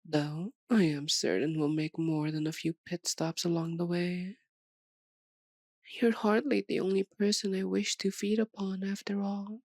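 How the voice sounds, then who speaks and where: Woman doing an asmr vampire mistress, ancient n sadistic, silky menacing voice, really slow, dry close sound. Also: on the low side, level tone, a little mellow, no room sound. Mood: teasing, hungry. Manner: slow, theatrical, silky and menacing, often dropping to a whisper. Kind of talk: ASMR roleplay voice acting.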